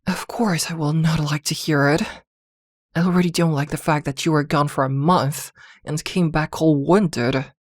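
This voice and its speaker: A woman playing a possessive, protective witch girlfriend in an intimate ASMR roleplay, doting yet jealous, with hesitant pauses. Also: deep voice, steady tone, no echo, clean studio sound. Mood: tense, coaxing.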